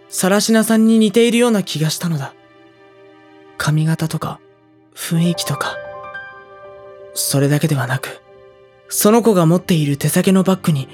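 A young man playing a sensitive, anxious high school boy in dramatized first-person narration. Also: darkish tone, breathy edge. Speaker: narrator and character.

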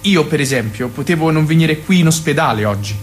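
A male actor plays a gentle, earnest man in a radio play. He speaks plainly and naturally in a somewhat high male voice, with music underneath. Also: lively intonation, fairly quick, close to an everyday voice. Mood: tender, insistent. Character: earnest, gentle man visiting his old love. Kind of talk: naturalistic radio drama acting.

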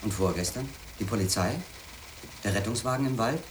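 A low-pitched, brooding man played by an actor, a former editor in a radio drama. He speaks in a measured, literary, introspective way, insistent beneath it, and there is background noise on the recording. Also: natural voice. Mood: insistent, questioning. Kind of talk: German radio play acting and narration.

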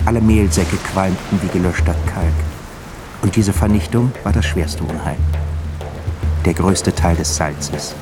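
A man's voice: low voice, measured pace, music in the background. A man narrating a literary radio drama in a dramatic, emphatic style.

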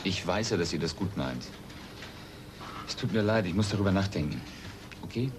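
A man's voice: low-pitched, lively intonation. An earnest newsman, noisy recording. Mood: hesitant. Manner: hesitant, measured, earnest. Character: cautious, kindly adult journalist confiding in children. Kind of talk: German children's radio play acting. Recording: noisy radio play tape.

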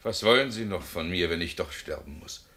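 A man's voice: deep voice, expressive, brisk, bright, forceful tone, exaggerated voice. An actor playing a condemned man who pleads his innocence from his cell. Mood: weary. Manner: impassioned, crying out his innocence. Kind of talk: radio drama acting.

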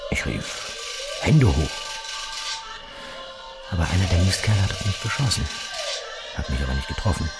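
A gravelly, very deep detective. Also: male voice. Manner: dry, clipped, telling a violent story as a bedtime tale. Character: hard-edged male police detective, father. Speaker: police detective. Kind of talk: radio play acting. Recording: heavy music under voice.

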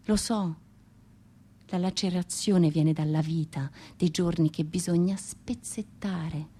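A woman's voice: lowish voice, steady tone, slow pace, mellow, breathy edge. An actress playing an anguished exiled Russian woman poet, pleading yet defiant, in an impassioned, declamatory radio monologue. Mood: proud, defiant.

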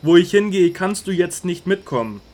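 An actor playing a calm, authoritative male teacher in a radio drama, speaking solemnly and earnestly. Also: high-pitched, normal speed.

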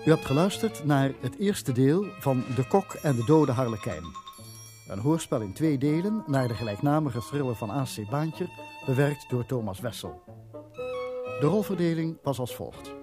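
A man's voice: mid-range voice, animated, normal speed, music in the background. A man with a Dutch accent working as a radio announcer, reading scripted drama credits.

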